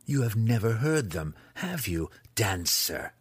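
A man voicing a fierce, derisive dark-elf weapons master, in a husky, raised voice. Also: on the low side, big pitch swings, a little slow, dry recording, heavily acted. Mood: taunting. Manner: growling, derisive. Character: fierce taunting male drow weapons master.

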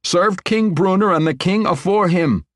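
A man's voice: sits high, measured pace, no echo, clean studio sound, pitched above the performer's own voice. A man voicing a young male dwarf king who speaks earnestly and loyally in a dwarven brogue.

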